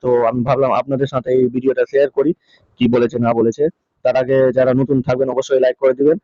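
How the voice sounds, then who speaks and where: A man's voice: mid-range voice, flat delivery, quick pace, no room sound. A man hosting a casual vlog update, talking in a repetitive, pleading way. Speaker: video host. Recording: dull, lo-fi close dry sound.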